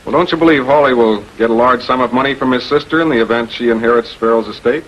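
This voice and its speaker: An actor as a measured prosecutor on muffled old film. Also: male voice, mid-range voice, natural voice. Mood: probing. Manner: measured, businesslike, insistent. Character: cool, probing, authoritative public prosecutor. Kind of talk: 1940s American TV crime drama acting and narration. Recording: dull, noisy 1940s film soundtrack.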